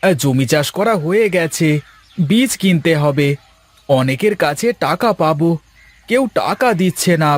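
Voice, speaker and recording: High male voice, fretful poor farmer dad acted by a man, gentle, plaintive, dry cartoon sound. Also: lively intonation, a little slow, no room sound. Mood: worried. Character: worried poor farmer father, gentle with his daughter. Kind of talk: Bengali moral-story cartoon voice acting.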